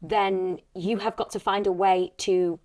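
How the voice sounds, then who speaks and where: A woman's voice: mid-range voice, steady tone, measured pace, a little mellow, dry recording, studio quality. A British woman, an actor and coach, giving earnest, encouraging advice in a conversational interview.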